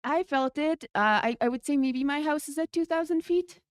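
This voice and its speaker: A woman in her thirties from the Czech Republic, interviewed as a race champion, laughing often and pausing on fillers. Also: sits high, no echo, studio quality. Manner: giggly, hesitant, many fillers. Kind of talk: interview answers. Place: race broadcast studio.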